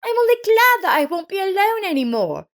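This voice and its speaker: A woman's voice: extremely high pitch, sing-song, fairly quick, pitched above the performer's own voice, exaggerated voice. A woman voicing a kind, insistent female neighbor in a story, with emphatic, concerned delivery. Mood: insistent, friendly.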